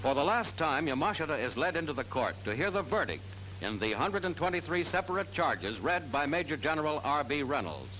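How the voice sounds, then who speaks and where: A man's voice: a little high, sing-song, a little fast, sharp tone, a little hiss or hum, rough recording. A man reads scripted 1940s newsreel narration in a formal, declarative announcer style on a vintage soundtrack.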